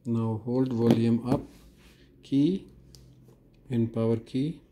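Man, tutorial host, dark mellow tone, flat delivery, unhurried step-by-step instructions. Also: medium pitch, slight background noise. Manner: slow, plain and instructive, with frequent "uh" pauses. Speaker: phone repair tutorial host.

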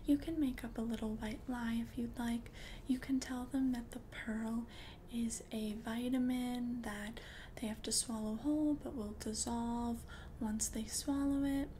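A woman playing a calm, earnest potion-selling witch in an ASMR roleplay, whispering softly and breathily with slow, careful explanations. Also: fairly high pitch, a little hiss or hum, lightly acted. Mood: helpful, soft.

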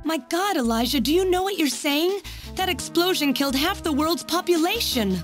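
A woman's voice: extremely high pitch, expressive, moderate pace, faint music behind. An actress playing an earnest young woman scientist in a dramatic video game scene. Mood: horrified. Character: earnest young female scientist, dismayed and questioning.

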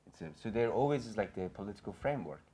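A man's voice: fairly low pitch, animated, racing, mellow, breathy edge. A man in his thirties with a Persian accent, speaking on a gallery panel in an earnest, rambling way full of fillers and restarts. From Iran. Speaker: panelist and filmmaker. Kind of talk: panel discussion. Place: small gallery room with an audience.

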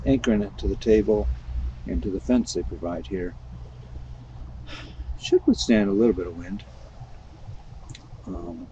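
An older man casually narrating his camping trip, relaxed and rambling with many ums and uhs, recorded outdoors at a campsite. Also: fairly low pitch, lo-fi sound, slight background noise. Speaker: camping vlogger.

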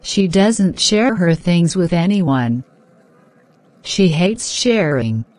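A woman playing a gentle young woman in a children's cartoon, with measured, plain-spoken scripted lines. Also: on the low side, expressive, no echo. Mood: troubled. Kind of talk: simple scripted dialogue for children.

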